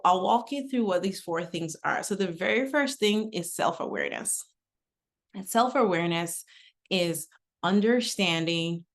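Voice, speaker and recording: Middle-aged woman from Nigeria, low-ish voice, conversational webinar presenter. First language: English. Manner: conversational, uses personal anecdotes. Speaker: webinar speaker.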